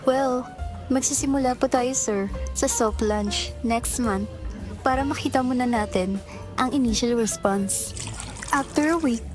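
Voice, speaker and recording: A high-pitched young Filipino office worker played by a woman over clear background music. She is eager and deferential, with a flustered, girlish streak of secret affection, in the manner of a romantic audio drama. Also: measured pace, close to an everyday voice. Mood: earnest, professional. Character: eager young female marketing employee, secretly smitten with her boss. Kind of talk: romantic audio drama acting, Tagalog-English mix.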